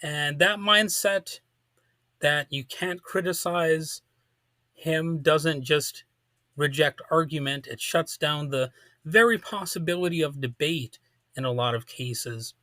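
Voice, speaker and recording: Male political commentator, bright forceful voice, slightly high, close dry sound, deliberate emphatic phrasing. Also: no room sound. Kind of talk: political commentary monologue, part scripted.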